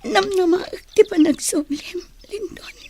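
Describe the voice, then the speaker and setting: A woman playing an anxious, devout sweetheart in a radio drama, pleading in a hushed, breathy way. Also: very high-pitched, unhurried, slight background noise. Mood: worried. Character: anxious devout woman parting from her lover. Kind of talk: Ilocano romantic radio drama acting.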